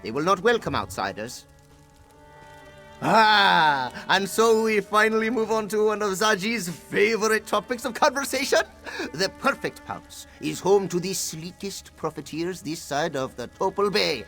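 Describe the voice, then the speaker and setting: A man's voice: sits high, expressive, unhurried, a bit bright, soft background music, exaggerated voice. A man voicing a boastful, theatrical cat-man pirate captain in a video game, honorable and given to speaking of himself in the third person. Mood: boastful, playful.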